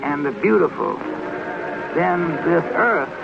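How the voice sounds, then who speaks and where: A man's voice: a little high, sing-song, gravelly, background noise, full character voice. An actor playing a tender, devout father who patiently explains a prayer to his child at bedtime in an old radio drama. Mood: tender, reverent. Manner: gentle, slow, patient explaining. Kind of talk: 1940s-50s radio acting. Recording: old muffled radio recording, music behind. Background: choir music from a radio.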